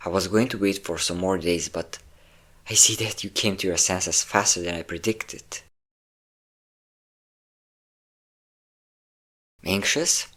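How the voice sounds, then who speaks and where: A man's voice: low voice, fairly even intonation, normal speed, a bit bright. A man playing a possessive, obsessive centuries-old vampire in a dramatic romantic audio roleplay, intense and theatrical in delivery. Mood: tender, coaxing. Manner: intense, theatrical, swinging between tender and threatening. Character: possessive obsessive five-hundred-year-old male vampire lover, menacing, volatile.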